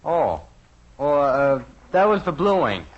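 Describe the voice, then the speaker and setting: A man's voice: on the high side, very animated, slow pace. A man playing a blunt, awkward, unimpressed adolescent son in a 1950s radio sitcom, talking hesitantly. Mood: sheepish. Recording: dull vintage radio recording.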